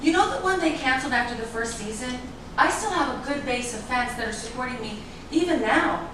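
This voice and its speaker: A vain, self-assured reality-show star acted by a woman at a staged reading speaks in a fairly high voice. She sounds far from the mic in a noisy, echoing room. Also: expressive, fairly quick.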